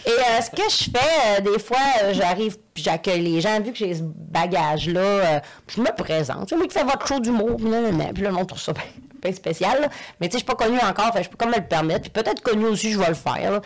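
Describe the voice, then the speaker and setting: A woman's voice: medium pitch, animated, fast. A woman comedian from Lac-Saint-Jean with a Quebec French accent, telling stories in a chatty way and laughing often as a podcast guest. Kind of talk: casual podcast conversation.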